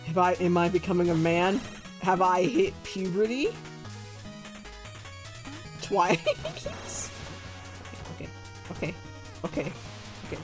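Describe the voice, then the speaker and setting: Young man, game streamer, unhurried speech, light voice, giggly and playful, faint game music behind. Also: sing-song. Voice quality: naturally deep for him but light, giggly. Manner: playful, laughs often, squeals at setbacks. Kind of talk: casual game stream chat.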